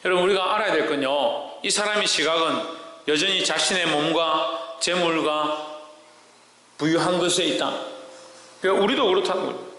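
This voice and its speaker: A man's voice: a little high, normal speed, sharp tone. A man with a South Korean regional dialect preaching verse by verse as a pastor, pressing his listeners with insistent rhetorical questions. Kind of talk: verse-by-verse sermon with scripture reading. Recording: echoey hall with long reverb.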